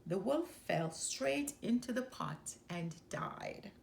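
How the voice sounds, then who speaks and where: A woman narrates a children's story aloud. She takes her time, moving expressively across a wide pitch range, with noticeable creak in the voice. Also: animated, takes it slowly, darkish tone, slight background noise.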